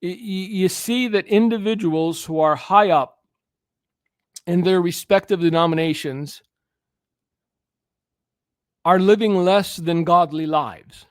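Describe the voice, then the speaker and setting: High-voiced man preaching on air. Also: slow, long pauses, dry recording, studio quality. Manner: earnest, preachy, with stammered restarts. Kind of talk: preaching commentary.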